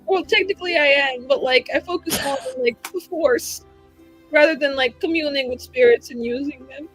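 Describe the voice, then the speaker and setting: A woman's voice: sits high, normal speed, poor audio. A woman playing a tabletop game, improvising casually with a flippant, informal way of talking. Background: soft background music.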